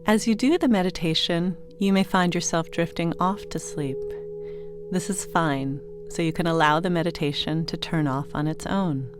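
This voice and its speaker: A woman narrating a guided sleep meditation in a gentle, soothing manner, giving unhurried instructions with pauses between phrases. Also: on the low side. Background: meditation music with singing bowl tones.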